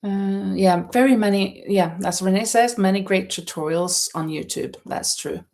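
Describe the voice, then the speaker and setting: A woman's voice: mid-range voice, normal speed, darkish tone. A woman from Norway with a Norwegian accent, hosting a casual livestream and chatting in a rambling, hesitant way as she reads out viewer comments. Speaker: livestream host. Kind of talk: casual chat while knitting, reading out viewer comments. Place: indoors at home in a holiday stay.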